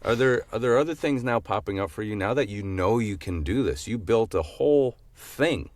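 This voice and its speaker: A man co-hosts with casual, joking banter. His voice is low but swings widely in pitch, and it is captured dry and close. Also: expressive, normal speed, darkish tone, dry recording. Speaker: podcast co-host.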